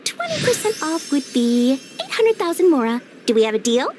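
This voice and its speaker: A woman's voice: sits high, huge pitch swings, normal speed, background noise. A woman playing a cunning, greedy young merchant with cheerful, theatrical sales patter in an anime game. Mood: wheedling, sly.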